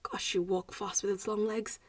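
A woman playing a teasing, haughty yet protective young vampire schoolgirl in a roleplay audio drama, speaking with deliberate phrasing and sighs. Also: mid-range voice, flat delivery, breathy edge, no room sound, natural voice. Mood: tired, complaining.

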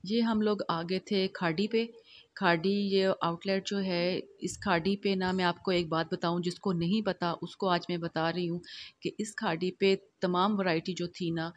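A woman's voice: fairly low pitch, steady tone, moderate pace. A middle-aged woman from Pakistan narrating her shopping vlog as a voice-over, in a chatty, homely way with many fillers. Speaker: vlogger.

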